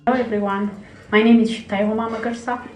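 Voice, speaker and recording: A woman's voice: on the high side, flat delivery, quick pace, faint music behind. A woman from Ethiopia with an Ethiopian accent, giving a prepared award speech as a plant breeder. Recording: echoey, distant mic, faint ambient noise.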